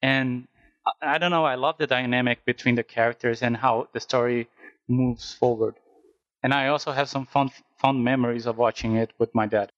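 A man with a Brazilian accent talks casually as a podcast guest, pausing to find his words. His voice sits at an ordinary pitch and sounds close and dry. The mic sounds somewhat dull and lo-fi. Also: steady tone, no room sound. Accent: Brazilian Portuguese. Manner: hesitant, searching for words with fillers. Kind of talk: casual chat.

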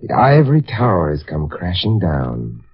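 An actor playing a suave amateur sleuth with dry, teasing wit in a 1950s radio drama. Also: male voice, deep voice, huge pitch swings, moderate pace, husky, exaggerated voice. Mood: grave, calm. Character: suave, wry adult male amateur sleuth. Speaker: radio actor, series lead. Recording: muffled vintage radio recording.

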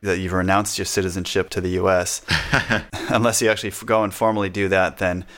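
Low-voiced man chatting casually. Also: flat delivery, a little fast, a bit bright. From United States. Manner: chatty, laughs often, self-deprecating. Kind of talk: casual conversation.

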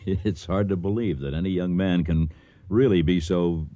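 A man playing a middle-aged archaeologist and expedition leader in a radio drama, speaking in a measured, civil, slightly hesitant way. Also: low-pitched, dark tone, no echo, dull audio, lightly acted. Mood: concerned, civil. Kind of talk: radio drama acting and narration.

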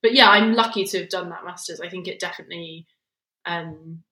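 A woman's voice: lowish voice, a little fast, pushed and bright. A young woman talking as a guest in a casual interview, reflective and meandering, a little self-deprecating. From England, near Luton. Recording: roomy echoey recording.

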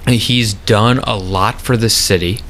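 A man's voice: fairly low pitch, steady tone, slow, a bit bright, slight background noise. A man on a city council, talking in a casual conversation, earnest and reflective with frequent ums and uhs.

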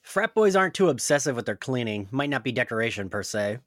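A woman reading the part of a laconic, unbothered young man in a podcast script table read, terse and deadpan. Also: a bass voice, fast talker, dry recording, clean studio sound.

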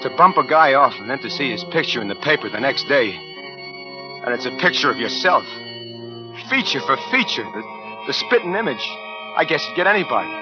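Actor as a hard-boiled jittery crook, vintage radio. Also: male voice, medium pitch, very animated, a little fast, natural voice. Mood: brooding. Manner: slangy, clipped, hard-boiled. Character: tough, jittery small-time killer on the run. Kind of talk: 1940s radio noir acting, first-person narration. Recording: dull vintage 1940s radio with music.

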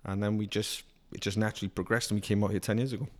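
A man's voice: fairly low pitch, flat delivery, rapid-fire. A Welsh man from Wales, a car-dealer guest chatting casually on a talk show, with frequent ums and restarts. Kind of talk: casual chat.

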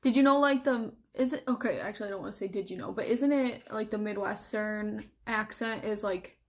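A woman's voice: medium pitch, brisk. A young woman from Michigan with a Michigan accent, co-hosting a podcast in casual, enthusiastic chat that is full of fillers. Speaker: podcast co-host.

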